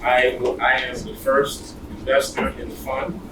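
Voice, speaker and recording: A man's voice: medium pitch, flat delivery, unhurried. A man from Brooklyn hosting an event, casually asking a room of people to introduce themselves. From Brooklyn, New York. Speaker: co-host of a panel event. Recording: noisy echoey room, distant mic.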